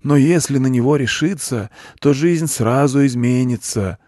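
A man's voice: medium pitch, unhurried, dark tone, no echo, clean studio sound, pitched above the performer's own voice, close to an everyday voice. A man voicing a pompous, persuasive male goat who preaches solemnly in a children's story. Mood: insistent preaching. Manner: solemn, self-important, preachy.

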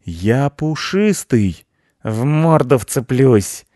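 A man voicing a touchy, fluffy storybook tomcat who makes threats in a drawn-out, indignant manner. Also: mid-range voice, lively intonation, mellow, dry recording, studio quality, pitched above the performer's own voice.

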